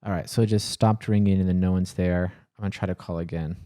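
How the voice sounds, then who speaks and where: Deep-voiced man, worried and frustrated. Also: fairly even intonation, fast talker, mellow. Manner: worried, frustrated, searching for words. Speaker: coffee shop owner filming a personal vlog. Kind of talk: casual, earnest explanation to camera.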